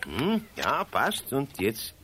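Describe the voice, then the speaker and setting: A kindly old Bavarian master carpenter teasing in a gentle, dry way. His pitch swings widely, and the old radio tape under him hisses heavily with clicks. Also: huge pitch swings, slow pace. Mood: calm. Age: older. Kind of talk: 1970s radio play acting.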